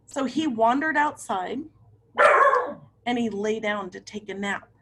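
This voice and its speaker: A woman's voice: fairly high pitch, unhurried, background noise. A woman reading a picture book aloud to children, with chatty asides and questions to her young listeners. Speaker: read-aloud storyteller for children.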